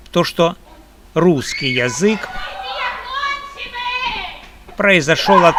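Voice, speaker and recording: Slow, deliberate Ukrainian man. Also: mid-range voice, background noise. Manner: slow, deliberate and emphatic, in measured phrases. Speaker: video blogger. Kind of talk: personal opinion monologue.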